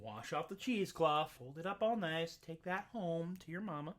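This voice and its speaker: A storyteller voicing the sheriff's daughter, a patient, weary young woman who talks slowly and coaxingly, as to a child. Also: mid-range voice, lively intonation, close to an everyday voice. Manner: slow, coaxing, talking to him as to a child.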